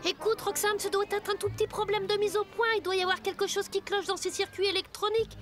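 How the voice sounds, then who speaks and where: A woman voicing an excitable, loyal young boy, the hero's best pal, in a French children's cartoon. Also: extremely high pitch, quick pace. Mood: placating. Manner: excitable, exclamatory. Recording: loud background music.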